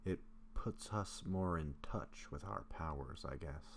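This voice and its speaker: A man playing a gentle, affectionate vampire in an intimate roleplay audio drama, speaking in a soft, halting, confiding way. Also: extremely low pitch. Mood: hesitant, explaining. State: sick, occasional coughing. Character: gentle sick male vampire, affectionate, self-doubting best friend. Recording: faint steady background noise.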